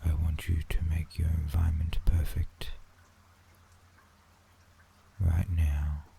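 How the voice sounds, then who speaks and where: Very deep, dark, hushed Australian man. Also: completely flat delivery, slow, gravelly. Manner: soothing, hushed, often dropping into a whisper. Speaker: guided meditation narrator. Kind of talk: ASMR sleep visualization, scripted narration. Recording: faint background noise and ambience.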